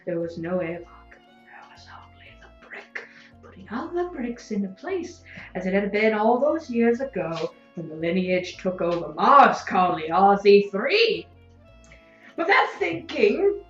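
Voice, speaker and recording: A woman's voice: mid-range voice, natural voice. Woman doing a solemn ex-slave, stiff formal dreamy speeches, audio drama, echoey n a bit muffled. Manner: stilted, formal, dreamy and ponderous. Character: solemn former slave speaking in philosophical metaphor. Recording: echoey, dull recording with light music.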